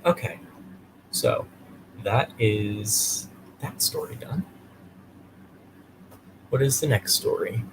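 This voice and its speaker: A man with a somewhat low voice reads bedtime stories to children as their host. The home recording has clear noise behind him. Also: slow pace, pauses often. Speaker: storyteller and host. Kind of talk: reading a children's bedtime story aloud.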